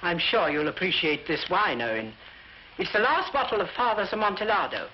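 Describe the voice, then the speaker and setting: An actress playing an imperious, courteous older sister with formal, gracious speech in a 1940s British film melodrama. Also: female voice, on the low side, sing-song. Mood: graciously formal. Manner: formal, gracious, measured wording. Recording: muffled, hissy 1940s film soundtrack.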